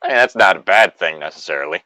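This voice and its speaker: A man's voice: sits low, lively intonation, fast, bright, forceful tone, dry recording. A man in a casual, joking group chat, with a crude, profane way of talking.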